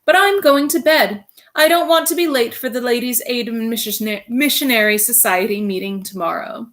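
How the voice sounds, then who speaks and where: A woman reading aloud, voicing a thrifty, sharp-tongued middle-aged housewife in a prim, fussy manner. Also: a little high, moderate pace, lightly acted. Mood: brisk.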